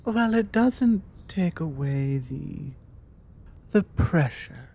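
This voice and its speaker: A woman acting as a self-assured British vampire lady in an ASMR roleplay. She speaks slowly in a soft-edged, mellow tone, conversational and forward, leaving deliberate pauses between her phrases. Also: low voice, lively intonation, husky, heavily acted. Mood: earnest, confiding.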